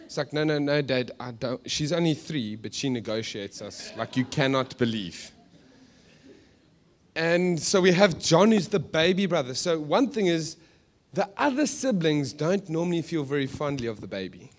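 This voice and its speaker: Higher-voiced South African preacher. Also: male voice, normal speed. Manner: animated, joking asides, rhetorical questions. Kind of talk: sermon to a live congregation, conversational storytelling.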